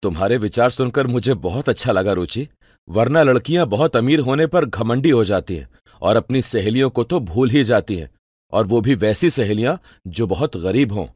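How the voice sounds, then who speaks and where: A man's voice: mid-range voice, lively intonation, normal speed, a little mellow, no room sound, clean studio sound, close to an everyday voice. A man playing a rich, generous husband in storybook cartoon dialogue, composed and reassuring. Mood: approving, reassuring.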